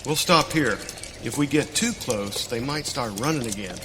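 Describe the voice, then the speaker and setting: A man's voice: mid-range voice, lively intonation, moderate pace, lightly acted. A man playing a plain-spoken frontier hunter in live radio theater, with folksy, rustic western talk. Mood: folksy, musing. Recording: reverberant hall, faint noise.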